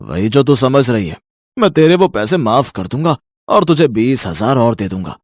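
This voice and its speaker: A man acting a leering village moneylender, lively pitch. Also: moderate pace, dry recording, clean studio sound. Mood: leering, sly. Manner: leering, domineering. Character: lecherous, bullying rich village moneylender, a man. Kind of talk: Hindi cartoon story acting.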